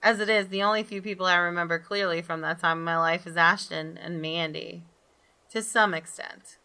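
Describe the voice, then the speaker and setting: Bright-voiced woman narrating a visual novel. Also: fairly low pitch, steady tone, normal speed, clean tone. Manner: stumbles and rereads phrases. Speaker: gamer reading a visual novel aloud. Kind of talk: dramatic scripted narration of first-person text.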